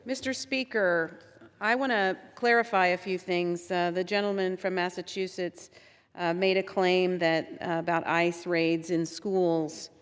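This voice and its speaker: A woman reading prepared remarks in a measured way during a House floor debate, recorded in a large legislative chamber. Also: lowish voice, fairly even intonation, clear, resonant tone. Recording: long hall reverb.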